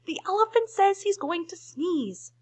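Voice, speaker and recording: A woman's voice: very high-pitched, animated, unhurried, pitched above the performer's own voice. A woman voicing an indignant, anxious bee in a storybook, fussy and emphatic as it pleads. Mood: indignant alarm.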